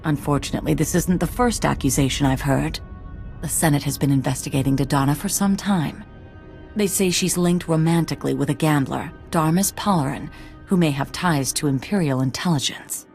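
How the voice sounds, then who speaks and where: An actress playing a composed military commander in a video game, formal, measured and authoritative. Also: female voice, sits low, creaky, a little mellow. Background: game music.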